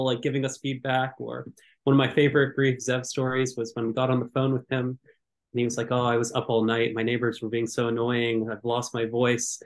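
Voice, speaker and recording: A young man, a curatorial fellow, talking on a video call through a headset, animated and full of restarts. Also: medium pitch. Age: young adult. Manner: animated, gesturing, many repeated false starts. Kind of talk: panel conversation on a video call.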